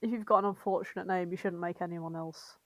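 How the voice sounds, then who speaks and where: A woman's voice: lowish voice, fairly even intonation, fast, no echo. A woman chatting casually as a co-host on a podcast. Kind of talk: casual chat.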